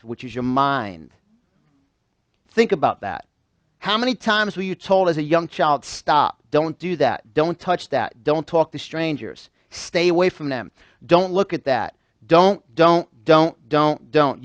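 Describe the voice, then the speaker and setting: High-voiced, emphatic male motivational speaker. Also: no echo. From New York, United States. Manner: emphatic, repeats phrases and fires questions at listeners. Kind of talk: motivational seminar talk to a live audience. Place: live event room with a small audience.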